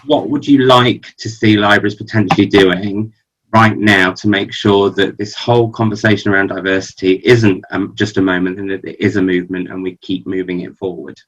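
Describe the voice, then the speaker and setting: A man moderating a webinar panel, polite and brisk, with the odd filler "um". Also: low voice, steady tone, fairly bright tone, highs cut off.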